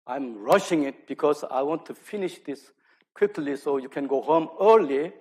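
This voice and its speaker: A man from South Korea with a Korean accent, a native Korean speaker, giving a public academic lecture in halting, self-deprecating English. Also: on the high side, darkish tone, slightly rough. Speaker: lecturer, archaeology scholar. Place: speaking live to an audience at an event.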